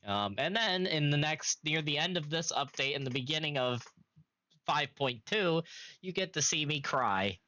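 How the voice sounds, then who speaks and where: A young man streaming a game in casual chat, recovering from illness and slightly raspy, clearing his throat now and then. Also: a little high, animated, bright, forceful tone. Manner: slow, chatty, occasional coughs and throat clearing.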